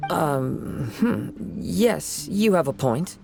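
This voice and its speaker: A man playing a calm, thoughtful young swordsman with a soft-spoken, measured manner in an English game dub. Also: a little high, gravelly, loud music behind the voice, heavily acted. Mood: reluctant, amiable.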